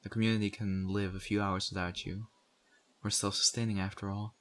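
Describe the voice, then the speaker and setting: Low-voiced, stern zombie apocalypse survivor played by a man. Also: little pitch movement, lightly acted. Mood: curt, resigned. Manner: earnest, scolding, deliberate with pointed pauses. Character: stern survivor community member in zombie apocalypse, exasperated, principled. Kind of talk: audio roleplay acting addressed to the listener.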